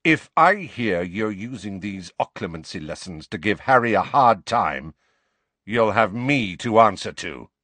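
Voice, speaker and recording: A man's voice: fairly low pitch, very animated, a little slow, no room sound, heavily acted. A man voicing a hot-tempered, protective wizard. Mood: aggressive. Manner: aggressive, loud, confrontational.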